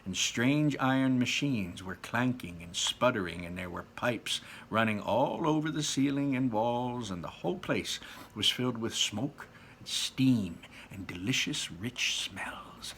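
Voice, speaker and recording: A man's voice: mid-range voice, animated, a little slow, a bit bright, a little hiss or hum. A man reading a children's book aloud as a storyteller, with dramatic emphasis on descriptive words and casual asides.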